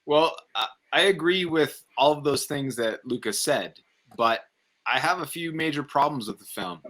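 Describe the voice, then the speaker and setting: A man co-hosting a casual film review, giving his opinions in a halting, conversational way. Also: on the high side, lively intonation, pushed and bright.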